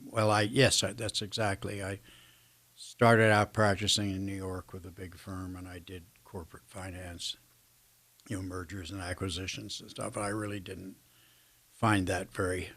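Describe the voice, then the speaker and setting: A man's voice: low-pitched, little pitch movement, normal speed, a bit bright. An older American man chatting as an interview guest, reflective and self-deprecating, feeling his way through his sentences. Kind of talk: casual anecdotal interview chat.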